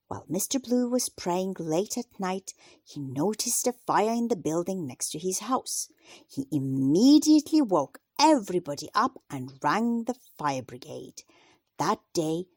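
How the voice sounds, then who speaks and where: Female children's narrator, lowish voice with wide melodic swings, scripted read-aloud in a dry, close sound. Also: breathy edge, no room sound. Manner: deliberate, expressive read-aloud delivery. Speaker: storyteller reading to children.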